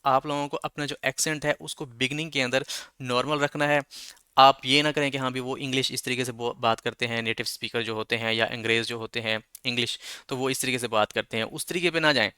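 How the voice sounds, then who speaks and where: Bright, crisp male teacher. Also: mid-range voice, steady tone, brisk, dry recording. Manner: conversational, repeats filler checks. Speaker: English teacher. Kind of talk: explaining lesson, mixing Urdu and English.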